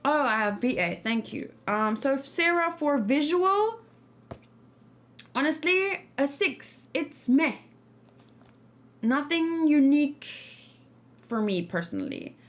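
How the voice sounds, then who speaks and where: A woman's voice: sits high, sing-song, takes it slowly, pauses often. A woman streaming casual game reaction and discussion, thinking aloud and giving her opinions to viewers. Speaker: gaming streamer.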